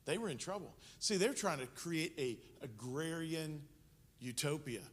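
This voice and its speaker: Man, fifties, conversational missions speaker, slightly high pitch, echoing church hall. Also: expressive, unhurried, a bit bright, breathy edge. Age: 50s. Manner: explanatory, conversational history talk. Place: church sanctuary. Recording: long church hall reverb.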